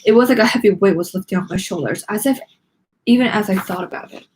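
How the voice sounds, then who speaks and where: A woman's voice: medium pitch, fast talker, a little mellow, slight background noise. A woman reading a children's story aloud with dramatic expression, acting out the lines. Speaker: read-along narrator of a children's book.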